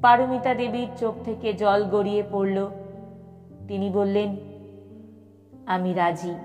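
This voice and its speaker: Slow-paced woman storyteller in long reverb. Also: medium pitch. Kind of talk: scripted audio story narration. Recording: long reverb with music behind. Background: background music.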